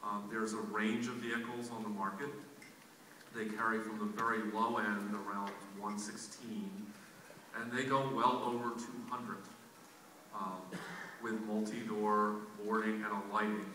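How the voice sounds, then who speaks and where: An American man from Philadelphia, a panel expert explaining in a measured, informal way at a public meeting. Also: fairly low pitch, little pitch movement, sharp tone. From Philadelphia, USA. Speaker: panel expert on transit vehicles. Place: large indoor public meeting room. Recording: very echoey hall, distant mic, faint noise.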